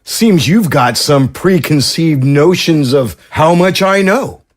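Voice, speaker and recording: An actor playing a sly intruder who mocks two scientists in a slow, taunting drawl, in a radio drama. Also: male voice, on the high side, animated. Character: sly, mocking male intruder.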